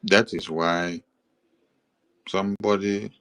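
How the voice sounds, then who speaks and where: A man with a Nigerian accent walks through a map in a measured, repetitive cadence, stopping now and then to correct himself. His voice sits on the low side. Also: clean tone. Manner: steady, repetitive listing with hesitations. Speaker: commentator presenting a map. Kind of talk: explanatory monologue.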